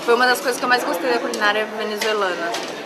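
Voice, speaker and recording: A woman's voice: a little high, racing. A Brazilian woman with a Brazilian Portuguese accent, hosting a travel vlog in a chatty, enthusiastic way. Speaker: travel show host. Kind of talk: casual travel vlog narration. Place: lively night venue with live band. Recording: loud music and crowd noise. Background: loud music and crowd.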